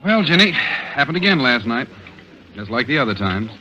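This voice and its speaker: A man's voice: mid-range voice, very animated, normal speed, sharp tone. An actor playing a blunt, skeptical male police investigator in a 1940s-style radio drama. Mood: suspicious. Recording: muffled, faintly noisy vintage radio recording.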